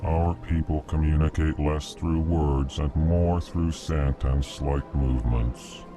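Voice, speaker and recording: A man voicing a huge, courteous alien ambassador in a video game, speaking in a formal monotone and flatly naming each feeling before he speaks. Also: extremely low pitch, slow pace, over music. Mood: solemn, explanatory. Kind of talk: video game character dialogue.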